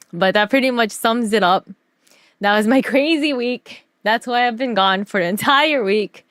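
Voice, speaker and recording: A woman streamer telling stories casually over a slideshow, animated, giggly and slangy in her delivery. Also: medium pitch, no echo, studio quality. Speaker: streamer and artist.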